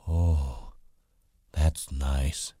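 A man's voice: a bass voice, fairly even intonation, dark tone, breathy edge, no room sound, heavily acted. A man voicing a childlike, simple big man from a storybook, eager and easily panicked, with ungrammatical rustic speech. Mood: childlike delight. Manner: ungrammatical rustic speech, slow-witted and repetitive.

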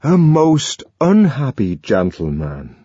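A man's voice: mid-range voice, big pitch swings, slow, slightly rough, no echo, dull audio, pitched above the performer's own voice, heavily acted. A man voicing an earnest, emotional young man who speaks in an intense, trembling way. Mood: impassioned.

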